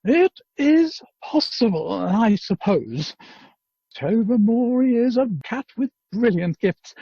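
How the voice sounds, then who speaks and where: An earnest gentleman inventor, played by a man in a radio play. He is hesitant and pedantic, his husky voice rising and falling a lot. The audio is dull and dry. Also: very high voice, big pitch swings, slow pace, no room sound, full character voice. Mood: proud, cautious. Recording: dull, lo-fi video call audio.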